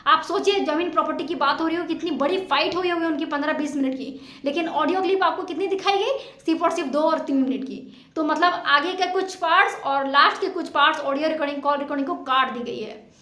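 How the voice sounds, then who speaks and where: High-pitched, emphatic woman, echoey room. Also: fairly quick, pushed and bright. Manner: emphatic, indignant, rhetorical questioning. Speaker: vlogger commenting on a family dispute. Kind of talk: informal opinion commentary to viewers. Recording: echoey untreated room sound.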